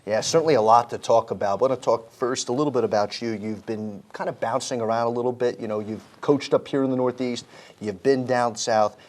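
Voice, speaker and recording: A man's voice: mid-range voice, steady tone, brisk, a little mellow. A man hosting a sports interview, asking upbeat, conversational questions. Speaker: interview host.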